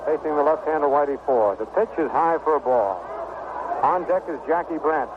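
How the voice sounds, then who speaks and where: A man doing radio baseball play-by-play in a 1960s broadcast style, speaking in short clipped phrases with pauses. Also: a little high. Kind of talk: 1960s radio sports commentary. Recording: noisy narrow-band vintage 1960 radio broadcast.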